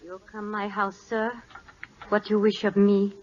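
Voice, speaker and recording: A solemn, dignified first wife of a Siamese king played by an actress. She speaks very slowly in measured broken English. The 1940s radio recording is dull, with faint noise. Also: medium pitch, monotone, darkish tone, natural voice. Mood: solemn, wistful.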